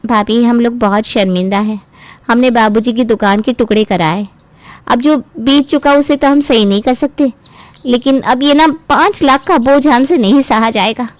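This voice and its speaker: A woman's voice: a little high, moderate pace, no echo, natural voice. A woman voicing a greedy, scheming younger sister-in-law in a family cartoon story, ingratiating and smug.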